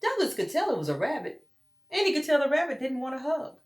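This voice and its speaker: A woman's voice: sits high, very animated, fast talker. A woman reading a story aloud to young children, expressively and with dramatic emphasis, addressing them as friends. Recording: roomy echo.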